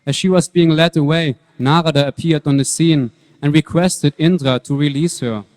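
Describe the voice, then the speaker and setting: Man playing a devout, high-voiced prince in a hall. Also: steady tone, a little mellow, lightly acted. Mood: earnest, devout. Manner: earnest, measured preaching. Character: devout, fearless boy prince, calm and wise. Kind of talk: dramatic scripture reading on stage. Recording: long hall reverb.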